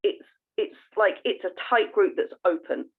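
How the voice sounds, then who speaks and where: A woman's voice: mid-range voice, animated, normal speed, vocal fry, breathy edge. A woman co-hosting a podcast, chatting casually in an earnest, effusive manner. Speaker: podcast co-host. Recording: tinny phone-like call audio.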